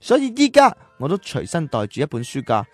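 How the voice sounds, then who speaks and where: A man playing a gentle, poor cowherd boy who loves learning in a radio drama, telling his story earnestly. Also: fairly high pitch, wildly swooping pitch, brisk, no room sound, soft background music.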